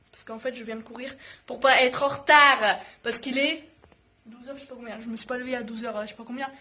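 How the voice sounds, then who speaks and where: A woman's voice: fairly high pitch, steady tone, fast talker, sharp tone. A woman chatting informally in a slangy, scattered way for a home cooking vlog, often interrupting herself. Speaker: vlogger. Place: home kitchen in an apartment. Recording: noisy home recording, clattering pots and dishes.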